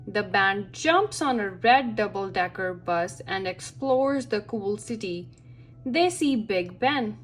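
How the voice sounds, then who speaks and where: A woman reading a children's picture book aloud as a storyteller, with expressive, deliberate phrasing for young listeners. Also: medium pitch, slight background noise.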